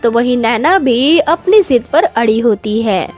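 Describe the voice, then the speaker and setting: A woman's voice: on the high side, animated, measured pace, a little mellow. A woman narrating a scripted moral story as the storyteller. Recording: faint music behind the voice.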